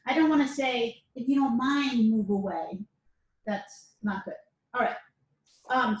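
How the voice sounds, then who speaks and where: Woman, high voice, chatty self-defense teacher, very echoey room, mic set back. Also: far from the mic. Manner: chatty, repeats words, asks "all right?" often. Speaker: karate and self-defense instructor. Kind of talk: casual class lecture to camera. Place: dojo or training room.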